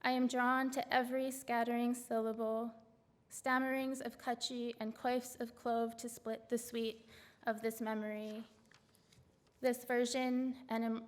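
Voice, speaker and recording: Woman poet, gravelly, in a reverberant hall. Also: fairly high pitch. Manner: hesitant, filler-laden asides between measured poem readings. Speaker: poet reading her work. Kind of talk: poetry reading with spoken introductions. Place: large auditorium stage.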